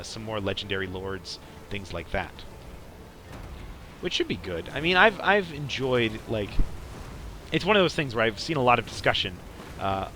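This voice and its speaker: A man's voice: on the low side, background noise. A young man giving casual, rambling gameplay commentary as a podcast host, often saying "like". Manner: rambling, peppered with "like". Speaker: podcast host playing a strategy game.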